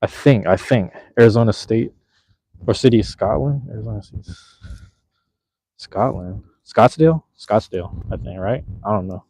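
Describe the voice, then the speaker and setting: Young male streamer, relaxed and slangy, low mellow voice, dry close phone audio. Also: moderate pace, vocal fry, slightly rough, no echo. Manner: laid-back, slangy, rambling. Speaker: livestream host. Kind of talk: casual live chat with viewers. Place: outdoors at night in a park, cold drizzle. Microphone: handheld phone. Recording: slightly dull lo-fi phone audio.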